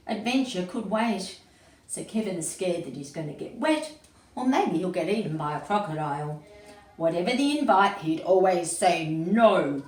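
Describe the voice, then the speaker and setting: A woman's voice: medium pitch, darkish tone. A woman reading a picture book aloud to young children, expressively, with simple explanatory asides. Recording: roomy, echoey, distant mic with faint noise.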